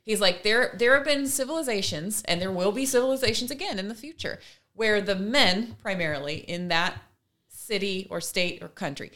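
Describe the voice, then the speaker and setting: A woman's voice: medium pitch, moderate pace, a bit bright. A woman co-hosting a casual discussion, earnest and emphatic, building her argument in long runs. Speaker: podcast co-host.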